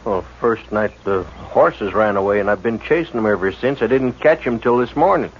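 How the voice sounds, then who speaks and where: A shifty homesteader, voiced by a man, with a lowish voice who turns evasive and defensive when pressed. He talks his way around the questions. The sound is a muffled old radio recording with soft hiss. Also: moderate pace, lightly acted. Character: shifty young male homesteader, defensive liar. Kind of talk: 1950s radio drama acting.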